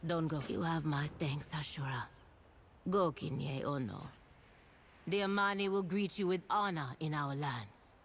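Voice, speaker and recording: A stately troll leader with a very low voice. She speaks solemnly and softly in a video game, with faint ambient noise behind her. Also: a little slow. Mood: solemn, grateful. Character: stately female troll leader, solemn and protective elder sister.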